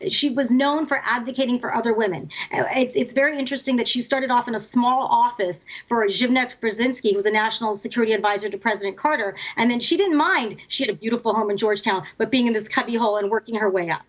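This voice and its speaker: A woman with a fairly high voice comments on politics in a news interview. She talks conversationally and explains with emphasis and anecdote. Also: fast talker, hardly any pauses.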